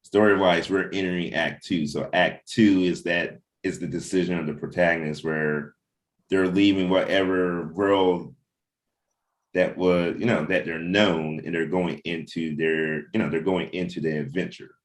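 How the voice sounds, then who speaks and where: A man's voice: low voice, steady tone, moderate pace. A man talking casually as a writer about creative work, rambling and repeating himself as he thinks aloud. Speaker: comic book writer.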